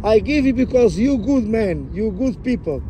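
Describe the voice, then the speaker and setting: A man's voice: high-pitched, animated, normal speed, mellow, slight background noise, low-quality recording. A Portuguese man speaking broken English in an effusive, friendly way as a taxi passenger, recorded inside a car. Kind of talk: casual chat. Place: inside a car on a city street.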